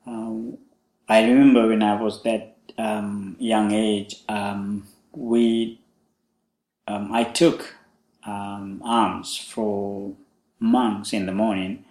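A young man from Sri Lanka, a Buddhist monk hosting a podcast, talking slowly with many pauses and fillers about his life. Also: fairly low pitch, flat delivery, darkish tone, echoey room. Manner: slow, halting, many fillers. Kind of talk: casual spoken monologue.

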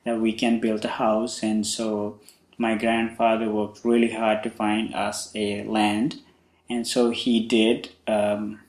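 A young man from Sri Lanka, a Buddhist monk hosting a podcast, talking slowly with many pauses and fillers about his life. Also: on the low side, monotone, darkish tone, reverberant. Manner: slow, halting, many fillers. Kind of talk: casual spoken monologue.

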